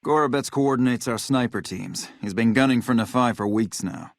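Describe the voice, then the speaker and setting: An actor playing a hard-bitten, vengeful military officer in a video game, blunt, profane and matter-of-fact. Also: male voice, medium pitch, moderate pace, no echo, studio quality, lightly acted. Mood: stern, businesslike. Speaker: game character, army officer.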